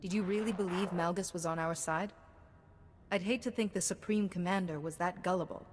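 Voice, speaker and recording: An actress playing a principled female Jedi who speaks in a firm, measured way, in a video game. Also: on the low side, big-room sound, natural voice. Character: stern female Jedi ally, principled and dry.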